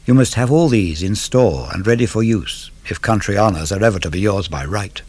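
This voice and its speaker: A man reads verse aloud in a measured, instructive way. His pitch moves expressively through each phrase, and every line is set down deliberately. The sound is close and dry, with very little room around the voice. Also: darkish tone, no room sound. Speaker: poetry reader. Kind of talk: dramatic verse recitation, scripted narration.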